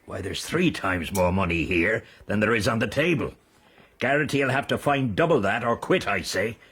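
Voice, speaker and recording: An actor playing a wily, flattering man of business with a gravelly voice and a colourful, wheedling manner in a radio drama. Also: fairly low pitch, normal speed. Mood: sly, scheming.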